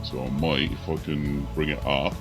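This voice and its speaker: A man's voice: extremely low pitch, flat delivery, moderate pace, over music. A man giving tactical commentary on a video game as he plays, talking through each move and reacting to it. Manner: narrates tactics and reacts to the action. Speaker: gaming video host.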